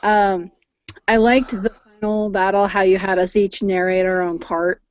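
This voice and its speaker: Measured woman in a dull call. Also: mid-range voice, level tone, clear, resonant tone. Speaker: radio play cast member. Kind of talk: casual group discussion.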